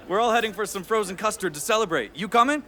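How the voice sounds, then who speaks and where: An actor playing a brash teenage quarterback who teases the people around him. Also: male voice, high voice, animated, brisk, bright, forceful tone. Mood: cocky. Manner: teasing, brash. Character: cocky teenage star quarterback.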